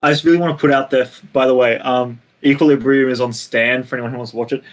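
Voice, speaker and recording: A man with an average-pitched voice talks as a podcast co-host. His style is casual and conversational, as if explaining things to friends. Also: steady tone, fast. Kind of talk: casual film recommendations and chat.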